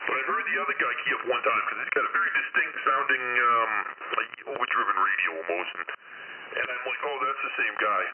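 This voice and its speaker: A man's voice: medium pitch, quick pace. Man on a tinny, static-heavy CB radio. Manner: blunt, mildly profane banter. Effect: CB single-sideband radio. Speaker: CB radio club member. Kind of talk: casual chat.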